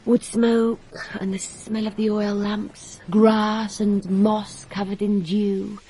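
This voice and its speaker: An actress playing a cultured, candid young woman in a British radio drama, speaking and narrating in a wistful, measured way. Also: mid-range voice, little pitch movement, mellow, low-quality recording. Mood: wistful recollection. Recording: radio drama with clear background noise.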